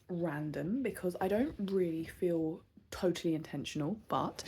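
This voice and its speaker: A woman in her thirties recording a casual vlog, talking unscripted and candidly, with many pauses, fillers and restarts. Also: fairly low pitch, warm tone, slightly rough. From southern England. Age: 30s. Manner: candid and self-reflective. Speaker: vlogger.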